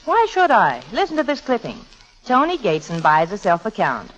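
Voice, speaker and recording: Spirited, sharp-tongued 1940s radio heiress. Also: female voice, medium pitch, huge pitch swings, moderate pace, soft background music. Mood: scornful. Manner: tart, poised, sardonic. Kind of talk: 1940s radio comedy acting. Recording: muffled old radio recording.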